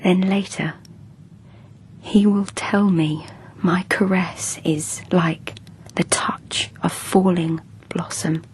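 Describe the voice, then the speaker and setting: A woman's voice: on the low side, fairly even intonation, takes it slowly, darkish tone, a little hiss or hum, rough recording. An actress playing a lovestruck young noblewoman in a radio drama, talking in an eager, breathless, whispery way. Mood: proud, whispery.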